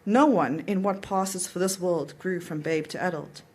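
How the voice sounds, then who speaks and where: A woman's voice: fairly low pitch, moderate pace, breathy edge. A woman reading a literary short story aloud at an event, in the narrator's voice. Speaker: author reading own story.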